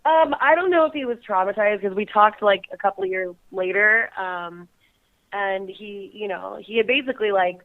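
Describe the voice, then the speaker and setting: A woman's voice: mid-range voice, normal speed. Young woman from the Bay Area calling in, giggly, laughs a lot, narrow phone-line sound. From Bay Area, California. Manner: giggly, laughs often between phrases. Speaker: guest. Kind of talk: casual phone interview. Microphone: phone call.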